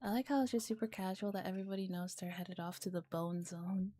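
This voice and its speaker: A woman streamer with a soft, breathy voice, reading and chatting gently in a relaxed ASMR style, at times in a whisper. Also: mid-range voice, fairly quick, soft background music. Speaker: streamer hosting visual novel readings.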